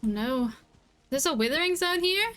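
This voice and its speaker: A woman's voice: sits high, animated, moderate pace, a bit bright. A woman streaming a game, giving casual reaction commentary as she plays.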